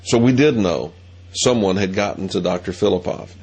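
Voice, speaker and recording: Male host, middle-aged, lowish voice, deliberate storyteller. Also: gravelly, a little mellow, slightly rough, poor audio. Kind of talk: monologue.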